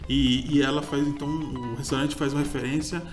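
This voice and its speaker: A man's voice: medium pitch, steady tone, fairly quick, soft background music. A man, co-hosting a casual food chat and often groping for names. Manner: chatty, gropes for names, laughs easily. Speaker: podcast co-host, food reviewer.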